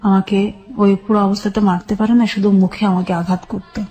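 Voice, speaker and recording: A woman's voice: mid-range voice, flat delivery, brisk, dark tone. A middle-aged woman with a Bangladeshi accent plainly and sombrely recounting her life step by step in a radio interview. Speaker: guest telling her life story. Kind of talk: personal testimony in a radio interview.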